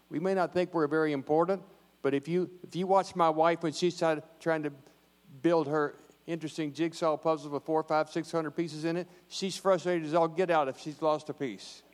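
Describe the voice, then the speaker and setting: A man, a church elder giving a short, plainspoken, joking sermon into a handheld microphone. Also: fairly high pitch. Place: church sanctuary. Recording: long reverb of a church hall.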